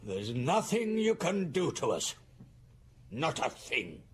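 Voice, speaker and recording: An actor playing a greedy, defiant old estate steward, a cornered villain in a radio drama. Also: male voice, medium pitch, sing-song, slow pace, a little hiss or hum.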